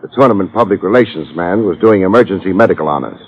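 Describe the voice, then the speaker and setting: An actor playing a wry, tough private detective in a 1950s radio drama, sardonic and slangy in both narration and dialogue. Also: male voice, low voice, fast, natural voice. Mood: dry narrating. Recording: muffled old radio recording with music.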